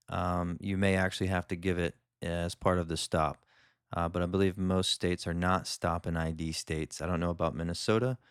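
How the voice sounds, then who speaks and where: A man doing a calm legal commentary voice-over, explaining each point in a measured way. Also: low-pitched, smooth, no echo, clean studio sound. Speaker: lawyer commentator.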